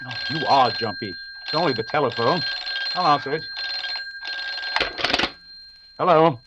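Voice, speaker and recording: An actor playing a confident, dismissive veteran detective in the manner of 1940s radio drama. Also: male voice, medium pitch, highs cut off, very noisy, lightly acted. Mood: brisk, teasing. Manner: brisk, dismissive, assured. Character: confident, dismissive veteran English detective, dryly amused and unflappable.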